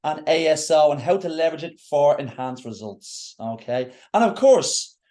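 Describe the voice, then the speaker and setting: A man's voice: fairly high pitch, expressive, normal speed, mellow. A man hosting a live webinar in an enthusiastic, effusive way. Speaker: event host.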